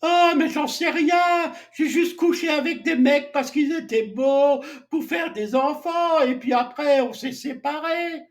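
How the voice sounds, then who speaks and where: Man doing a high shrill complaining woman, slow and whiny, a bit off-mic. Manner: high whining mimicry, drawn out. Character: tearful middle-aged woman complaining, whiny.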